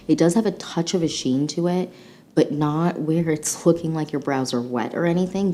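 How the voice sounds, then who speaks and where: Casting: female beauty vlogger, low-pitched and nasal from a mild cold, gushing casual delivery, faint rumble behind. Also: mellow. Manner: gushing, enthusiastic. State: slightly sick, congested. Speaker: beauty channel host. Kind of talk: casual chatty product review to camera.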